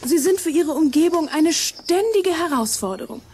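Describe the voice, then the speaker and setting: Self-important schoolgirl, high pitch, affected, theatrical, children's radio play. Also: expressive, normal speed, darkish tone, slight background noise.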